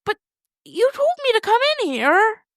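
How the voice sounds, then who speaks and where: A woman's voice: extremely high pitch, big pitch swings, unhurried, dry recording, studio quality, pitched above the performer's own voice, exaggerated voice. A woman voicing an anxious, incredulous young girl in an audio story, questioning and exclaiming.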